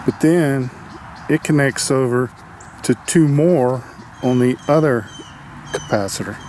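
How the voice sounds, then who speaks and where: A man giving a casual, unscripted hands-on repair demonstration, halting as he thinks aloud. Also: medium pitch, a little mellow. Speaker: hobbyist radio restorer demonstrating a repair. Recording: clearly noisy amateur video sound.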